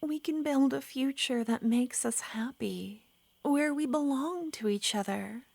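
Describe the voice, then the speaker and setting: Woman doing an ancient lovesick vampire girl, high voice, soft and pleading, whispery, slow, dry close sound. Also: gravelly, no echo, exaggerated voice. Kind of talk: ASMR roleplay.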